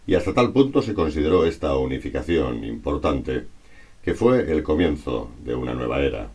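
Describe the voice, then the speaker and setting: A man from reads a scripted historical narration in a formal, measured, bookish manner. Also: extremely low pitch, lively intonation, a little mellow.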